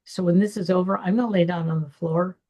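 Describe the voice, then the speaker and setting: Gravelly-voiced woman podcast host. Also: on the low side, fairly even intonation, quick pace, mellow, highs cut off. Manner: reflective, personal storytelling. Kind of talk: personal conversation.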